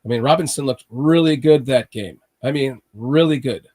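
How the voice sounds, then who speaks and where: A man hosting a casual sports podcast. He has a cold and sounds slightly raspy, and he rambles with frequent restarts, like a nervous fan. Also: mid-range voice, normal speed, darkish tone. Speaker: podcast host.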